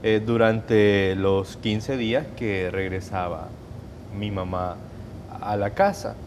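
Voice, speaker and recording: Salvadoran man giving measured testimony. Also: fairly low pitch, steady tone, background noise. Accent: Salvadoran Spanish. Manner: measured, hesitant, with restarts and fillers. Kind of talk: personal testimony in an interview.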